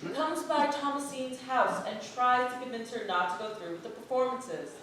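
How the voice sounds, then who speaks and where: A woman's voice: sits high, normal speed, a bit bright. A woman giving theatrical scripted narration as an actor reading a play synopsis. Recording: echoey hall from far off, faint noise.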